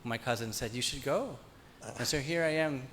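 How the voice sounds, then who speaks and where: A man's voice: mid-range voice, moderate pace, fairly bright tone, big-room sound, slight background noise. A man in the audience asking a question, earnest and self-deprecating.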